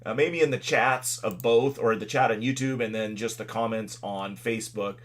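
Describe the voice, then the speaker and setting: A man with a fairly low voice hosts a live weather stream from his desk. He talks loudly and casually, stopping often with fillers as he clicks through maps. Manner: loud, halting delivery with many fillers. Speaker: local weather livestream host. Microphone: desk microphone.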